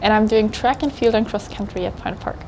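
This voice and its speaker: A woman's voice: mid-range voice, a little fast, darkish tone, a little hiss or hum, rough recording. A woman in her twenties from Germany, a student athlete introducing herself. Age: 20s. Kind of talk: self-introduction to camera.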